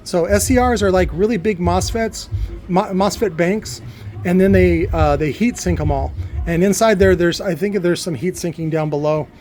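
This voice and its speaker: A man with a high, mellow voice talks a listener through technical details in an informal way. His delivery is halting, with words repeated mid-sentence. Faint music plays behind him. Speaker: electronics builder explaining a device.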